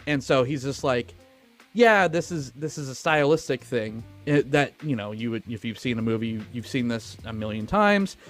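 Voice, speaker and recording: A man's voice: medium pitch, expressive, moderate pace, soft background music. A man co-hosting a podcast, talking casually about films in a chatty, enthusiastic, sweary way. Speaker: podcast co-host. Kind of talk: casual film discussion.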